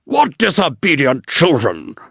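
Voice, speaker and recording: A man's voice: a little high, huge pitch swings, measured pace, vocal fry, fairly bright tone, husky, no room sound, studio quality, heavily acted. A man voicing a pompous, self-centred old male water rat in a storybook, with haughty, exclamatory delivery. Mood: indignant. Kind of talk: storybook character voice.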